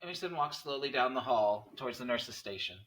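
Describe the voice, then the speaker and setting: Man, bright crisp-edged voice, scripted narration, reading stage directions. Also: medium pitch, animated, brisk, slight background noise, rough recording. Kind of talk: scripted narration at a table read.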